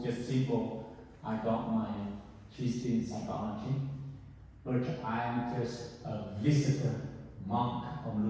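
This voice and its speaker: A man in his fifties with a Vietnamese accent, a visiting Buddhist monk giving a gentle, pausing dharma talk to high school students. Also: slight background noise. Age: 50s. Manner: slow, gentle, pausing with many "uh"s. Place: large temple hall. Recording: echoey hall, mic far off.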